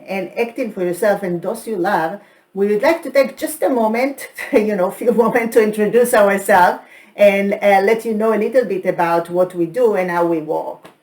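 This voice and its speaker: A woman's voice: mid-range voice, a little mellow. A woman with an Israeli accent co-presenting a training session, who laughs often and keeps checking in with "right?". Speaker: co-host and trainer. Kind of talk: presentation chat.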